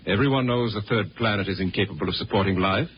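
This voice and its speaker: An actor playing a dismissive, pompous Martian husband in 1950s radio drama style. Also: male voice, lowish voice, fast talker, natural voice. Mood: scornful, impatient. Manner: pompous, scornful. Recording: dull, noisy 1950 radio recording.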